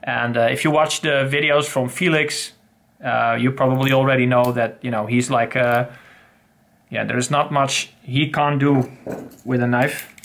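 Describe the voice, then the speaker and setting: Gravelly middle-aged man, rambling gear talk. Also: mid-range voice, flat delivery, unhurried, a bit bright. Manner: rambling, many fillers and restarts. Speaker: channel host. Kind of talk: casual gear review. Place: home study with bookshelves.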